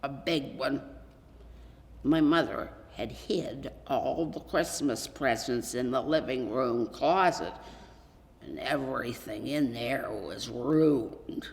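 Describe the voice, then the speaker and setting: A woman's voice: rumbling low, slow, gravelly, voice pushed down, heavily acted. Earnest older schoolboy confiding in a pal, read by a woman, long hall reverb. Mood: downcast.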